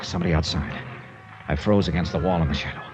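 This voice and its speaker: An actor playing a tough, wry, confident investigator with an American accent, punchy and sardonic in 1950s radio style. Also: male voice, low voice, quick pace, close to an everyday voice. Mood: tense, urgent. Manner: punchy, hard-boiled, sardonic. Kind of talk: 1950s radio acting and first-person narration. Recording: dull old radio recording with music.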